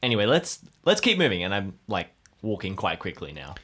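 A man's voice: on the low side, wildly swooping pitch, a little fast, fairly bright tone, slightly rough. A man playing in an improvised tabletop game, bantering casually and describing what his character does. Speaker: player in an audio drama game.

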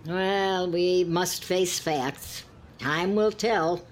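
A woman's voice: on the low side, very slow, slight background noise. A woman playing a genteel, judgmental elderly friend in an audio play, prim and sighing, with politely pointed barbs. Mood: sweetly barbed. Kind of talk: scripted two-hander audio comedy-drama.

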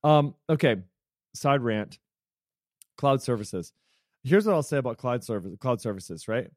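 A man's voice: medium pitch, expressive, measured pace, a little mellow, no echo, clean studio sound. A man co-hosting a podcast, telling stories in a rambling, self-deprecating way. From United States. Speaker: podcast co-host. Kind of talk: casual chat.

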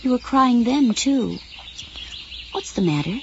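An actress playing a flustered suburban woman in an audio drama, scolding but soft-hearted, in a natural style. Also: on the high side, animated, a little slow, muffled recording, a little hiss or hum. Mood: gentle, concerned.

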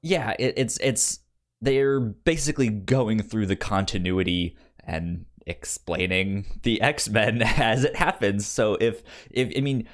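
A man hosting a podcast in casual conversation, halting and stuttering on the first sounds of words, with an enthusiastic manner. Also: on the low side, animated. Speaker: podcast review show host.

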